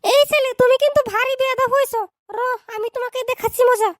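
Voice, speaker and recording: A woman's voice: very high voice, steady tone, fast, no echo, clean studio sound. A woman voicing a strict schoolteacher in a cartoon, scolding and lecturing her class. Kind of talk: cartoon dub acting.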